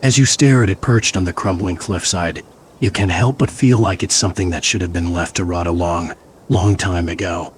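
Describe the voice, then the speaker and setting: A man narrating a gothic mystery game as a brooding storyteller, in a measured, atmospheric scripted style. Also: low-pitched, fairly even intonation, a little hiss or hum, natural voice.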